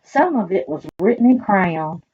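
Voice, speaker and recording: A woman with a Southern US accent reading picture books aloud to children in an animated, expressive way, adding chatty asides. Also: mid-range voice, moderate pace, highs cut off. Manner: animated, expressive, talks directly to young listeners.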